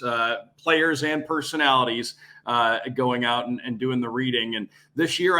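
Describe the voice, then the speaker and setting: A man's voice: mid-range voice, pushed and bright. A man who calls hockey games on radio, answering interview questions in a fluent, friendly way. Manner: fluent, friendly, lightly filler-laced. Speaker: radio play-by-play announcer, guest.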